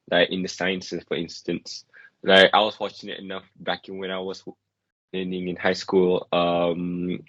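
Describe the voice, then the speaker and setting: A man's voice: sits low, a bit bright, no room sound, muffled recording. A young man from Malaysia, thoughtfully answering interview questions and using "like" often. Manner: thoughtful, many "like" fillers.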